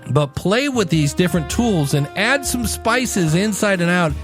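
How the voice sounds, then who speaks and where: A man hosting a podcast in a conversational solo style, speaking emphatically and slowing down for dramatic effect. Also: high-pitched, lively intonation. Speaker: podcast host. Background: background music.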